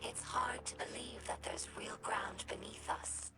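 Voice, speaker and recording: A woman voicing an earnest, gentle young female alien scribe in a video game, narrating lore in a measured, formal, reflective way with a breathy voice. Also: medium pitch, fairly even intonation, in a whisper, close to an everyday voice. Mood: fond, reflective. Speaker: scribe recording her house's history.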